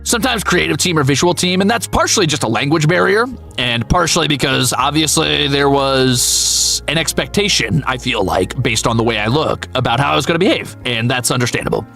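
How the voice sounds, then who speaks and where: Animated man with bright, gravelly voice. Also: mid-range voice, brisk, faint music behind. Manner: candid, playful, profane, self-deprecating. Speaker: VTuber streamer and voice actor. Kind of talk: casual livestream chat answering viewers.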